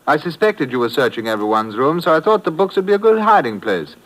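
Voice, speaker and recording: A suave blackmailer in a vintage radio drama. He talks with polite, mocking menace, and his pitch swings in a lively way. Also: fast, poor audio. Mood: smooth menace. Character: suave male blackmailer posing as book collector. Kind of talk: 1950s radio drama acting.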